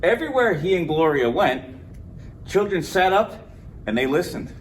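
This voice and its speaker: A man with a fairly high voice narrates a picture book in lively, varied tones. There is clear noise behind him. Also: moderate pace. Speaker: police officer reading a children's book aloud.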